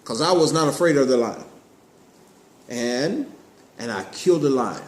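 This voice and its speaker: A man telling a Bible story to children in an animated way, in simple words with repeated phrases and questions. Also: medium pitch, a little slow. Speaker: storyteller, puppet show host. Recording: roomy echo, clear background noise.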